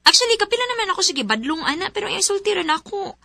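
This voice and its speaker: Young woman, radio drama lead, high voice, bright tone, emotional and pleading. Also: big pitch swings, fast talker.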